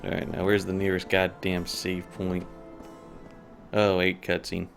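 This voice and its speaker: A man's voice: sits low, completely flat delivery, unhurried, creaky. A man hosting a game stream with casual, sweary, exasperated banter. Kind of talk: casual chat. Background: game music.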